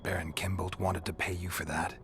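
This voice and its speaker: Very deep, gruff monster hunter. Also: male voice, fairly quick, a little hiss or hum. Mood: dry, probing. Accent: American. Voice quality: low gravelly growl, breathy at phrase ends. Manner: deadpan, terse, dryly sardonic. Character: gruff, stoic male monster hunter for hire, dry and blunt. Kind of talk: video game voice acting.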